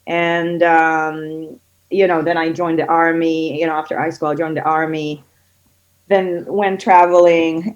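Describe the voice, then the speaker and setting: A middle-aged woman from Israel, a guest who runs a kids yoga studio, chatting casually with many fillers. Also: deep voice. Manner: chatty, many fillers, gets excited when telling stories. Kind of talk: casual podcast interview. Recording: dull lo-fi audio.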